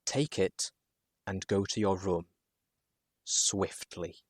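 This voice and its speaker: A man voicing a stern but gentle female pony leader, speaking low and soft in a measured, solemn way. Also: fairly even intonation, roomy sound. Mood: quiet command.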